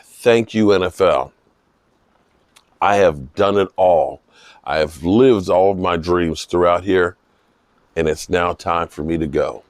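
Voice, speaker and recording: A man with a slightly hoarse voice giving unscripted, emphatic sports commentary, pausing mid-sentence for emphasis. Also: on the low side, a little mellow, dry recording, studio quality. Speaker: football vlogger giving post-game reaction. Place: outdoors in misty rain.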